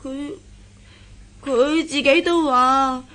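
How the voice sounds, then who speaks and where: Shy, high-voiced young woman in love. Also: steady tone, drawn-out words, pushed and bright, exaggerated voice. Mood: hesitant, upset. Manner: hesitant, stammering, evasive. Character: shy lovesick young daughter hiding her feelings. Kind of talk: Cantonese radio drama acting.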